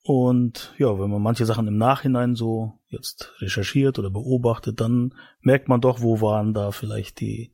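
A man in his forties from eastern Germany with a husky voice, casually telling his life story as a podcast guest in a studio. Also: medium pitch, fairly even intonation, moderate pace, few pauses, dark tone, no room sound. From Mecklenburg-Vorpommern, eastern Germany.

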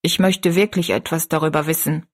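A woman's voice: fairly low pitch, moderate pace, husky. A woman voicing a half-amused, curious man in a storybook, with a casual, questioning manner. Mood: serious.

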